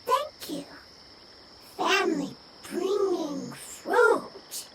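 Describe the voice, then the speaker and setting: A woman voicing a small anxious fungus creature in a video game, speaking in halting, clipped, broken phrases. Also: very high voice, animated, very noisy, exaggerated voice. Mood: grateful, simple. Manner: halting, clipped one- and two-word phrases.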